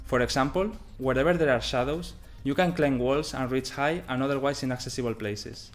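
A man's voice: medium pitch, brisk. A man in his thirties giving a scripted presentation as a game developer. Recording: music behind the voice. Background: background music.

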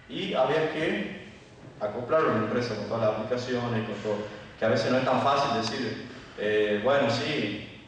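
A man with an Argentine Spanish accent gives an informal technical talk as a conference speaker, explaining colloquially and posing rhetorical questions. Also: mid-range voice. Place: dim lecture room. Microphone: handheld microphone, picked up by distant camera. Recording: echoey hall from far off, faint noise.

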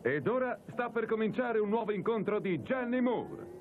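An actor playing an excited television sports announcer giving commentary in a cartoon dub. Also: male voice, high voice, moderate pace, slight background noise. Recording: thin, phone-like broadcast sound.

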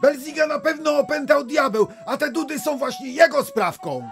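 Oskarżycielski ksiądz o wysokim głosie. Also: male voice, moderate pace, vocal fry, fairly bright tone, soft background music, full character voice. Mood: accusing. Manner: high-pitched, alarmed accusing. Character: stern accusing village priest in a folktale.

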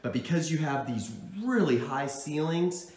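A man's voice: fairly high pitch, unhurried, darkish tone. A man in his thirties, a real estate agent, narrating a walk-through home tour on a handheld phone indoors. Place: indoors, hallway and rooms of an apartment. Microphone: phone held at arm's length. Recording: echoey rooms with background noise.

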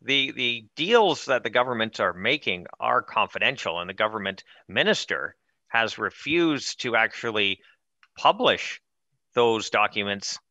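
A man in his thirties hosting a political talk show over a headset mic, emphatic and animated in delivery. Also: fairly low pitch, measured pace, no echo, lo-fi sound. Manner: energetic, emphatic, gestures while talking. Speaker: show host. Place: studio with branded backdrop, indoors.

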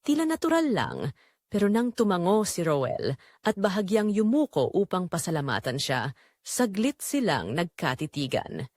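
A woman reading scripted dramatic story narration in short, measured, suspenseful phrases. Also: fairly low pitch, very animated, darkish tone, no room sound, studio quality.

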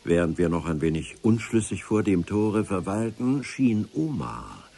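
A man's voice: deep voice, big pitch swings, measured pace, vocal fry, mellow, breathy edge. A man narrating a radio adventure serial in the first person, with a dry, ironic storytelling manner. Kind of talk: scripted radio-play narration. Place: radio drama studio.

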